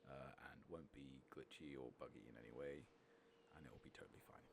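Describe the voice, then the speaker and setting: A man giving soft-spoken ASMR game commentary, hushed and unhurried, often slipping into a whisper. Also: noisy background. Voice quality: gravelly, breathy low voice with whispering. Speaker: streamer and host. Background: video game ambience.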